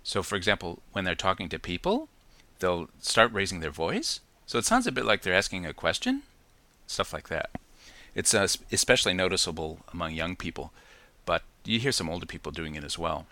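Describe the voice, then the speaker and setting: A man's voice: low voice, very animated, a little fast, bright, forceful tone, no echo. A man hosting a casual solo podcast from New Zealand, chatty and reflective, with many ums and restarts. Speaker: podcast host.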